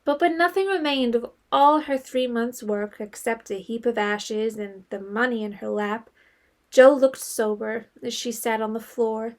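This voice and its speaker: A woman's voice: a little high, fairly even intonation, a little slow. A woman reading scripted storytelling narration as the narrator of a period audio drama.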